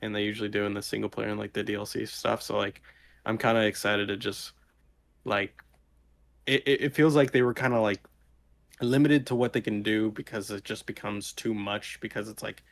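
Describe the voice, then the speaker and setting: A man's voice: fairly low pitch, fairly even intonation, fairly quick, gravelly, slight background noise. A man chatting casually as a podcast co-host, talking in a rambling, enthusiastic and loosely worded way. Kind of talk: casual chat.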